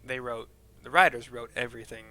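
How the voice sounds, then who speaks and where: A man's voice: mid-range voice, a little fast, pushed and bright. A man streaming a video game, narrating scenes and commenting casually with rambling, informal, sweary asides. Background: game music.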